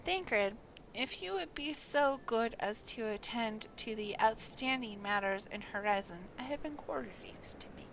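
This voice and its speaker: A shrewd, composed fantasy-game adventuress, acted out by a woman. She speaks slowly and formally in old-fashioned phrasing, fairly high, with clear noise behind. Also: expressive. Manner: formal, archaic, measured phrasing.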